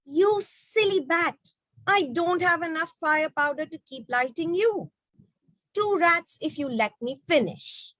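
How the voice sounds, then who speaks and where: A small storybook girl of a magical tribe, played by a woman reader, bossy and scolding. Her voice is raised high and exasperated, with a dry sound. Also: animated, slow, fairly bright tone, no room sound, exaggerated voice, low-quality recording.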